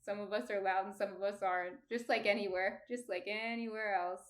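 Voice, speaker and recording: Woman, New Jersey accent, quiet and soft-spoken, mid-pitched, casual stream chat. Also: steady tone, brisk. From New Jersey, USA. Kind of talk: casual chat reading viewer comments.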